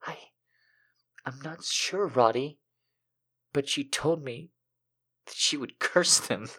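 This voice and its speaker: A man voicing a lovely young woman in a fantasy story, a clingy, fearful damsel who speaks softly and coyly, with hesitation. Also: medium pitch, slow pace, husky, voice pushed up. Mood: frightened, coy. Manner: coy, soft-spoken, hesitant. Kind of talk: dramatic reading of a fantasy story.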